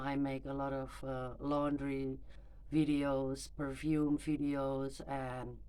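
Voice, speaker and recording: A woman's voice: extremely low pitch, darkish tone. A woman in her forties who is not a native English speaker, talking hesitantly with many fillers into her phone camera for a personal vlog. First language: neither English nor German. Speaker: mother vlogger.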